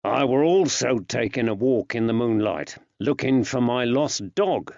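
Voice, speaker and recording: A kindly man, voiced by a male narrator, asks questions gently and patiently in an audiobook story. His delivery is unhurried and coaxing, with old-fashioned working-class turns of phrase, recorded dry. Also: mid-range voice, lively intonation, dry recording, highs cut off. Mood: reassuring.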